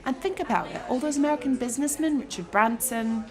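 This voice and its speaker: A woman's voice: sits high, animated, fast, a crowd in the background. A woman playing a gullible, opinionated young woman in a satirical audio drama, breezily sure of muddled facts. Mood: confidently explaining. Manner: confidently misinformed, breezy, casual.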